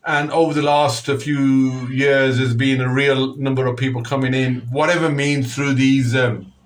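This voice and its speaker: Man, radio host, middle-aged, halting delivery, lots of fillers. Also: medium pitch, little pitch movement. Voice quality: halting, many uh fillers and restarts. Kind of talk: community radio phone-in discussion.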